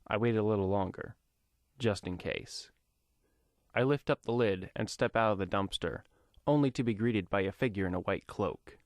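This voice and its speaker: A man's voice: on the low side, moderate pace, dry recording, clean studio sound. A man narrating a scripted first-person action story.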